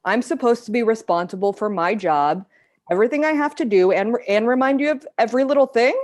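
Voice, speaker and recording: A woman's voice: fairly high pitch, fairly quick, darkish tone, lightly acted. A woman playing a frustrated but concerned girlfriend in a live table read, speaking naturally, by turns hesitant and pointed. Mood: exasperated.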